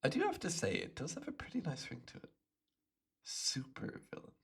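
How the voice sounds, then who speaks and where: A man's voice: fairly high pitch, wildly swooping pitch, vocal fry, husky, full character voice. A man acting out a smug, chatty imprisoned supervillain with hypnotic telepathy, musing playfully to the listener in an ASMR roleplay. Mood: wry, resentful. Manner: playful, smug, unhurried musing with little chuckles.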